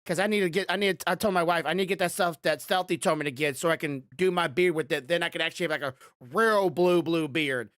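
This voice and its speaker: A man hosting a podcast in casual, rambling chat, with a loud voice that rises when he gets passionate about something. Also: fairly high pitch, quick pace, few pauses, dry recording, studio quality. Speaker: podcast host.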